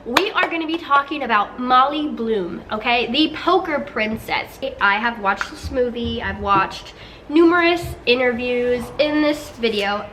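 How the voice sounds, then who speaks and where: A woman's voice: high-pitched, a bit bright, slight background noise. A young woman vlogger, nasal and congested from a head cold, chatting casually to her viewers while telling a story. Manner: chatty, upbeat, talks straight to viewers. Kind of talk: casual get-ready-with-me storytelling.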